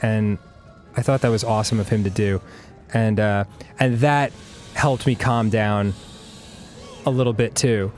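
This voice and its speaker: A man's voice: on the low side, fairly even intonation, measured pace, darkish tone, faint music behind. A man in his thirties, first language Hebrew, chatting casually as a co-host over gameplay, rambling with many fillers. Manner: rambling, frequent fillers and laughs.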